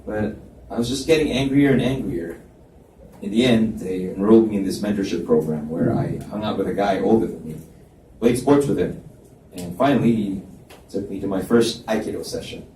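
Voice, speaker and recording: A man giving a low-key, earnest live reading of a novel's male lead at a library event. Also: normal speed, warm tone. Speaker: reader voicing the male lead. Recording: roomy, distant mic with faint hiss.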